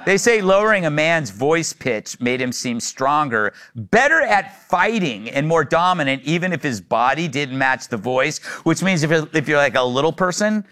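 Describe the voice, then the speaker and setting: A man's voice: fairly high pitch, lively intonation, moderate pace, hardly any pauses, fairly bright tone. A man hosting a panel talk show, joking with guests and laughing often. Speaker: host.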